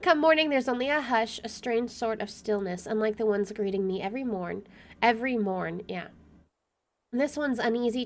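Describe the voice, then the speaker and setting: A young woman streaming a game, chatting casually and reading story text aloud in an expressive way. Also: medium pitch.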